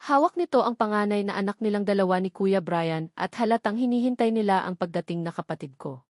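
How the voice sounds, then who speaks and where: A woman's voice: mid-range voice, a little fast, darkish tone, no echo, studio quality. A woman reading a first-person romance novel as a scripted audiobook narration.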